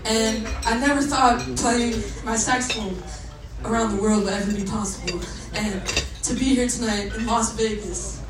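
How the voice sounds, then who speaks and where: A woman in her twenties from Massachusetts, a saxophonist bandleader chatting excitedly with a club crowd between songs, laughing often. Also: on the high side, normal speed. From Massachusetts, USA. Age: 20s. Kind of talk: live stage banter between songs. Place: live music club on stage. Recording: echoey club, distant mic, loud crowd and noise.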